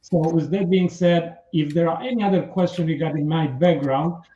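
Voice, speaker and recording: A man with a Romanian accent speaking as a political candidate in a radio interview, in formal, earnest, halting phrases. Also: fairly high pitch, mellow, slight background noise. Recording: muffled, roomy radio interview sound.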